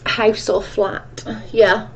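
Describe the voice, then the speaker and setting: A woman's voice: mid-range voice, flat delivery, drawn-out words, muffled recording. A woman with a strong Welsh accent hosting a casual livestream chat, reading viewer comments aloud and answering them in a chatty, rambling way. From Wales. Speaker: livestream host.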